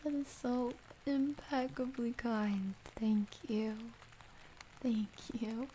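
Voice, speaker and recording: Slow, high, mellow-voiced woman doing soft ASMR. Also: slight background noise, rough recording. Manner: gentle, drifting between soft voice and whispering. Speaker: ASMR livestreamer. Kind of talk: soft-spoken ASMR chat with viewers. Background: soft rain ambience.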